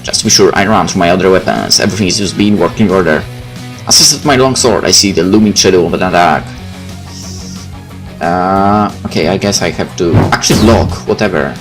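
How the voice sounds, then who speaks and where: A man doing a let's play, reading visual novel text aloud in a casual, expressive way. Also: low-pitched, brisk. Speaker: gaming YouTuber. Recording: game music behind the voice.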